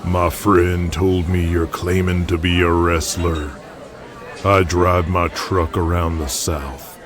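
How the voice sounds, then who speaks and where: A man voicing an enormous, menacing Southern truck driver with a slow, drawling, threatening manner in an audio drama. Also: low voice, flat delivery, a crowd in the background. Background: music.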